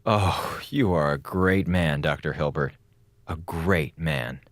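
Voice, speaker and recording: An actor playing a chatty, sarcastic space station communications officer with an American accent, logging theatrically in a radio drama. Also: male voice, rumbling low, takes it slowly, no echo, clean studio sound. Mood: grateful, breezy. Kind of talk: radio drama audio log monologue. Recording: music behind the voice.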